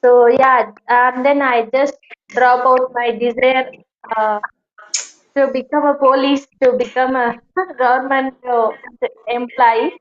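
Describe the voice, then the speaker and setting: A woman's voice: high voice, steady tone, takes it slowly. A young woman from Tamil Nadu with a Tamil Indian English accent, talking politely and hesitantly while practicing English conversation. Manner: polite, hesitant, fillers like "you know". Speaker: channel host, English learner. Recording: slightly dull, faint noise.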